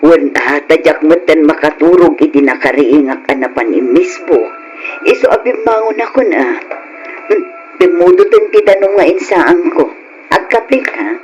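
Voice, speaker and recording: An affectionate older Ilocano parent in an old radio drama. The voice is high and very animated, fond toward the grown children, with slight noise in the recording. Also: male voice, normal speed, low-quality recording. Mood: fond, homely.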